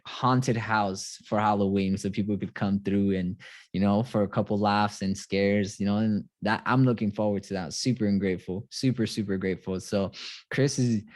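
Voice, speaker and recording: A man's voice: on the low side, darkish tone, no echo. A man from Santiago, Chile, hosting a live online group call in a casual motivational style, with hype-man exclamations and repeated phrases. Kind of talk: casual motivational livestream talk.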